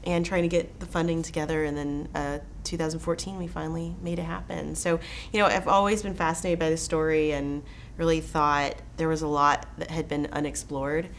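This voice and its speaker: A woman's voice: low voice, little pitch movement, a little fast, slight background noise. An enthusiastic American woman from Texas, a documentary filmmaker talking conversationally as a festival interview guest. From Texas, United States.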